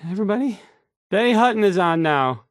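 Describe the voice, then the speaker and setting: A man's voice: sits high, lively intonation, a little fast, dry recording, studio quality. An actor playing a nervous, awkward young male radio DJ in a video game, stammering and hesitant as he talks. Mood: awkward, unsure. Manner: stammering, hesitant, unsure of himself. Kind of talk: video game character dialogue.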